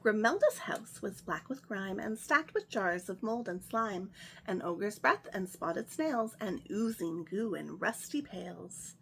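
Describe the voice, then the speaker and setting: A woman hosting a children's story time, reading a picture book aloud in a gentle, sing-song way. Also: medium pitch, animated, slow, gravelly, slight background noise.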